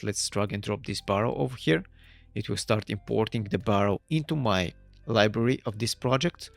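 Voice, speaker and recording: A man from Bulgaria, a native Bulgarian speaker, walking through a software tool step by step in a relaxed tutorial style with slightly non-native phrasing. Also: lowish voice, gravelly, no room sound. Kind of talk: screen-recorded software tutorial.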